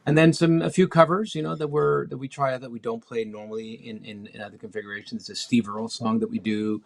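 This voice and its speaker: A man from Mexico City who moved to Canada as a teenager, speaking as a musician being interviewed, reflective and halting as he thinks. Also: medium pitch, steady tone, gravelly. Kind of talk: casual conversational interview.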